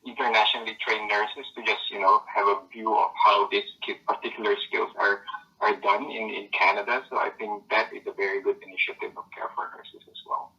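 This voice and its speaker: Male nurse interviewee, bright edge, lower pitch, repeats words, muffled call audio. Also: little pitch movement, fairly quick, recorded from a distance. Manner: repeats words while searching for them. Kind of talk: interview answers.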